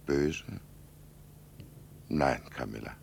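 A gentle, resigned husband in a radio drama, with a very deep voice. He speaks gravely and sparely, over faint rumbling noise. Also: expressive, very slow, heavily acted. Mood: tender, resigned. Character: Giovanni, quiet melancholy husband, gentle and resigned. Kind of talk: German radio play acting.

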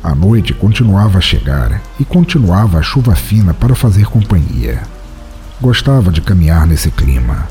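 A man playing a melancholy, solitary writer who walks at night, narrating in a measured, introspective way in a literary audio drama. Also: low-pitched, big pitch swings, dark tone, faint music behind. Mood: brooding, introspective.

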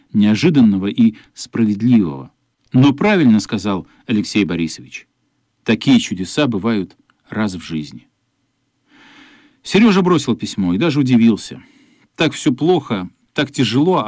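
A man's voice: mid-range voice, darkish tone, no echo. A man reading scripted narration for a radio play, recorded on a close studio microphone.

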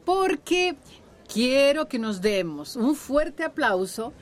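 Radio drama: actress playing spirited, principled village woman, fairly high voice, enthusiastic. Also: sing-song, takes it slowly, a little hiss or hum, full character voice. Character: enthusiastic, peace-minded woman villager praising her neighbours.

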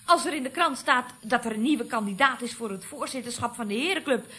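A woman's voice: fairly high pitch, fairly quick, sharp tone, slightly rough. An actress playing a puzzled, matter-of-fact woman in a Dutch radio comedy, asking pert questions in a Netherlands accent.

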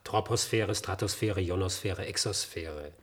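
A man's voice: deep voice, unhurried, a bit bright. An actor playing a probing, insistent male friend in a German radio play, questioning in a pointed way.

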